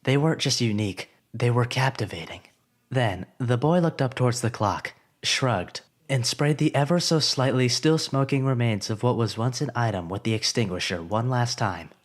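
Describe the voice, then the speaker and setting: A man narrating a story in an expressive, scripted storytelling way. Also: mid-range voice, moderate pace.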